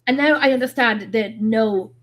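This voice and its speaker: A woman with a fairly high voice talks informally in a group conversation. It is loose, everyday chat with no script. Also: normal speed, a bit bright. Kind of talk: casual chat.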